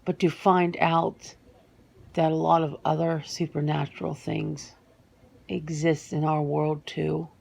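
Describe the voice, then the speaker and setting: A woman playing a reluctant, sentimental female werewolf in a soft-spoken ASMR interview roleplay, speaking haltingly and repeating words. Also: low-pitched, gravelly, full character voice.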